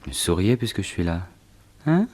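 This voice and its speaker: An actor playing a suave gentleman thief, smooth-talking and courtly, in 1960s French radio drama style. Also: sits low, big pitch swings, moderate pace. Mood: tender, seductive.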